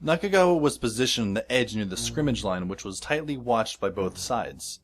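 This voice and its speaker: A wry, observant schoolboy played by a man tells his story in an audio drama. His narration is scripted, wordy and descriptive, at a middling pitch, with music clearly playing behind it. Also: expressive, normal speed, close to an everyday voice. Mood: wry, intent. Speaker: first-person narrator.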